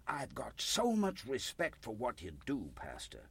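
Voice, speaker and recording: A folksy, gushing old American, swooping pitch. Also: male voice, wildly swooping pitch, unhurried, creaky, a bit bright, husky, dry recording, full character voice. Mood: awestruck, flattering. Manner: effusive, rambling, folksy exclamations. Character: folksy, gushing, chatty old American carpet salesman churchgoer. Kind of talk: radio play acting.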